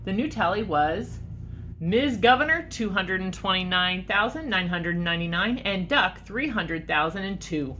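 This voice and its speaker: Animated woman reading a storybook, bright voice. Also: medium pitch, a little hiss or hum. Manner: expressive, pausing to ask listeners questions. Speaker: teacher reading a picture book aloud. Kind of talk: animated storybook read-aloud for children.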